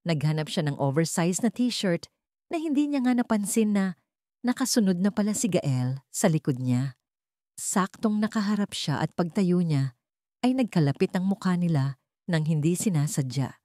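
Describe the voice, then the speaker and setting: A woman's voice: lowish voice, dark tone, no echo, clean studio sound. A woman storyteller reading a scripted romance story as narration.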